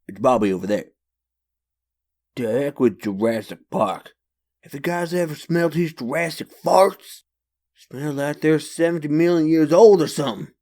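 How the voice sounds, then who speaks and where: A man's voice: on the high side, expressive, moderate pace, dark tone, lightly acted. A man playing a joking, chummy vagrant drinking with his pals in a comic dub, with folksy, slangy banter. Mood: jovial, teasing.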